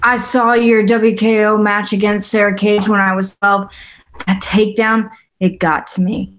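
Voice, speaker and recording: A woman's voice: mid-range voice, level tone, a little slow, a little hiss or hum, natural voice. A woman playing a young, awestruck female fighter in a script table read, casual and a little hesitant. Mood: admiring. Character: young wiry female fighter, awestruck yet sizing up rivals.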